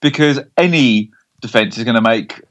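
A man's voice: medium pitch, normal speed, lo-fi sound. A man with an English accent chatting casually about football as a podcast co-host, wordy and hesitant, often tagging statements with a question.

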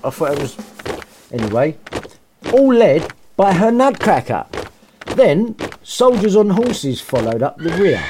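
A man's voice: high voice, a little mellow, a little hiss or hum. A man narrating a storybook comically, with irreverent, sarcastic, sweary asides and a theatrical manner. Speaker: story reader and podcast host.

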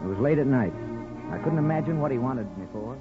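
An actor playing an earnest young soldier husband in a 1950s radio drama. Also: male voice, medium pitch, animated, fast talker, natural voice. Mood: reflective narration. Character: earnest young husband, small-town barber turned soldier. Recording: dull 1950s radio recording, music behind.

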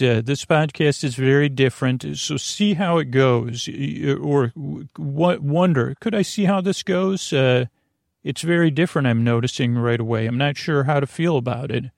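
Creaky-voiced man, rambling and soothing. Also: a little high, normal speed, darkish tone, no room sound, clean studio sound. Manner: meandering, stammering, tangent-prone, self-questioning; soothing, lulling, drowsy delivery. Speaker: podcast host. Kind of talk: rambling bedtime podcast monologue.

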